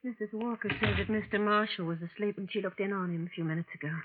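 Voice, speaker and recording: An actress playing an anguished, conscientious young caregiver, earnest and dramatic in a 1940s radio melodrama. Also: female voice, medium pitch, fairly even intonation, fast, natural voice. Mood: concerned. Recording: tinny, noisy old radio recording.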